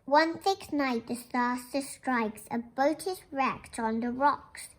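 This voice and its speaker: A woman's voice: sits high, takes it slowly, a little hiss or hum. A young girl reads a children's picture book aloud, explaining it and asking questions like a little teacher. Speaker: young storybook reader.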